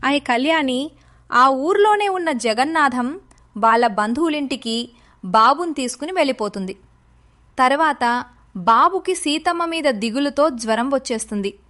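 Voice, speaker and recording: A woman's voice: high-pitched, lively intonation, moderate pace, a bit bright. A woman reading a scripted children's moral story as its narrator.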